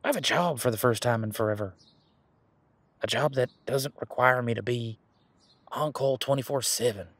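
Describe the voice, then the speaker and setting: A man playing an earnest, teasing werewolf boyfriend in an intimate roleplay audio drama, speaking casually and haltingly with a husky voice. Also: medium pitch, a bit bright, no echo, lightly acted. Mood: excited, sheepish. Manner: casual, halting, conversational with trailing pauses. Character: male werewolf boyfriend, earnest, hopeful, teasing former bodyguard.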